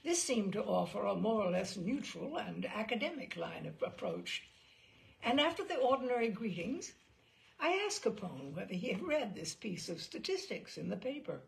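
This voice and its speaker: A woman reading a memoir aloud as a narrator, in a wry and expressive storytelling manner. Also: medium pitch, moderate pace, vocal fry, low-quality recording. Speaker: reader. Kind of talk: audiobook narration.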